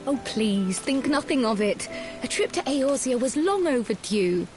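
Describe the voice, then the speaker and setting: A woman's voice: high voice, exaggerated voice. A woman playing a spirited, confident young scholar in a video game, eloquent, wry and playfully teasing. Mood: playful, cheerful.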